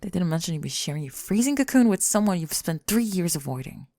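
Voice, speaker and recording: A young woman acts out a flustered, guarded female college student in an ASMR roleplay. Her voice is on the low side with plenty of creak, often sinking into soft whispers. She talks haltingly and self-consciously, very close and dry on the mic. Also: sing-song, no echo. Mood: awkward, wistful. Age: young adult. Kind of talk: ASMR roleplay, scripted intimate monologue. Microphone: close microphone, dry.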